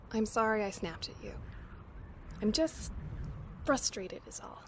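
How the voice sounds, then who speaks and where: A woman's voice: mid-range voice, very animated, slow, a little hiss or hum. A woman playing a frustrated young camp assistant in an audio drama, with a sardonic, sighing, conversational manner. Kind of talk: naturalistic audio drama acting.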